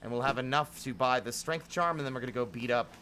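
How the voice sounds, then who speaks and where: A man's voice: medium pitch, fast. A man chatting casually on a game stream, conversational and thinking aloud as he plays. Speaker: live streamer who voices game dialogue. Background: game music.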